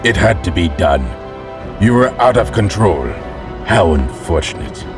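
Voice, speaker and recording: An actor playing a cold, calculating villainous power broker in an audio drama, speaking in a measured, formal way. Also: male voice, deep voice, animated, music in the background. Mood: cold, detached.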